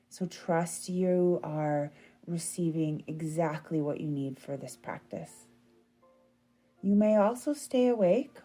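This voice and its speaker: A woman with a low-pitched, softly mellow voice guides a meditation. She speaks very slowly and gently, with a soothing, unhurried delivery suited to deep relaxation. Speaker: yoga nidra meditation guide.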